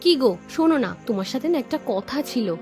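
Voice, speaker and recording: A woman's voice: high voice, animated, quick pace, darkish tone, faint music behind. A woman voicing a hospitable, slightly anxious village housewife in a cartoon. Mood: concerned, gentle. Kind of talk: Bengali cartoon voice acting.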